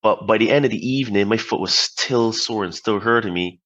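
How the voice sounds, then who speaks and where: A man's voice: on the low side, a little fast, a bit bright, highs cut off. A middle-aged man from Barbados, a podcast guest telling his story in short reflective phrases, with many "you know"s.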